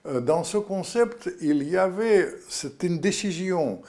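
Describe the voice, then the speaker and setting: A man's voice: high-pitched, expressive, slow pace, mellow. An older man with Russian-Ukrainian roots, a guest author speaking in an interview, rambling with many restarts and fillers.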